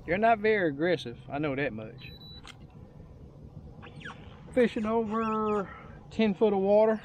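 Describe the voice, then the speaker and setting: A middle-aged man giving casual, unhurried, rambling fishing commentary in a folksy way, outdoors on a boat. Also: a little high, slight background noise. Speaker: angler hosting a fishing vlog. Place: open boat on a lake, outdoors.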